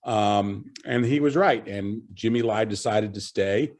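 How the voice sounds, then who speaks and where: Low-voiced man chatting on a video call. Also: unhurried. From Virginia, USA. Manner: relaxed, rambling with many fillers. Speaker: panelist. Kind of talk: casual self-introduction on a video call.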